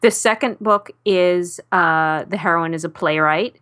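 A woman novelist chatting casually in an interview, talking in an animated, emphatic way. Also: on the low side, measured pace.

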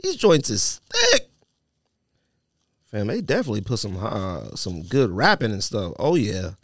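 A man hosting a podcast, bantering casually with plenty of slang and emphatic drawn-out words. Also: lowish voice, wildly swooping pitch, fairly bright tone, no echo, clean studio sound. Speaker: podcast host. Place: podcast studio.

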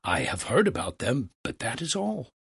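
A man's voice: mid-range voice, very animated, moderate pace, gravelly, fairly bright tone, breathy edge, dry recording, clean studio sound, pitched above the performer's own voice, full character voice. A man voicing a wily old philosopher in a storybook, courteous and theatrically coy. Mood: wistful. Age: older. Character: wily old philosopher, flattering and feigning wistfulness.

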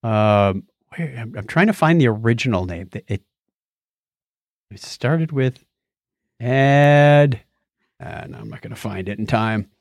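A middle-aged man talks casually as a podcast co-host, hesitating and thinking aloud as he goes. His voice sits at an average male pitch, and the sound is dry, with very little room around it. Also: lively intonation, a little slow, pauses often, dry recording. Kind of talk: casual chat about old gadgets.

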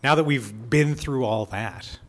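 A man's voice: medium pitch, unhurried. A man hosting an interview podcast in a casual, informal, agreeable way.

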